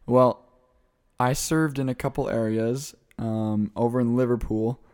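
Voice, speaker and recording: A man's voice: mid-range voice, fairly even intonation, a little slow, darkish tone, clear, resonant tone. A young man from the American Midwest, answering interview questions in an eager, chatty way and laughing easily. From Midwest United States. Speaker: guest, returned missionary.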